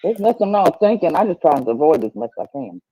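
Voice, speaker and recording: Husky jokey man in his fifties. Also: sits high, big pitch swings, dark tone, no echo, low-quality recording. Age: 50s. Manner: jokey, teasing, quick quips. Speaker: livestream panel guest. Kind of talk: casual group chat.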